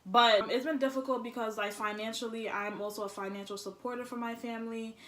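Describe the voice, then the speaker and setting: A woman's voice: fairly high pitch, steady tone, a little fast, fairly bright tone. A woman, chatting candidly and informally as a vlog host. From born in Haiti, immigrated to the United States at four. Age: early 20s. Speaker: vlog host, college student. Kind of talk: casual personal chat. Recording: echoey room.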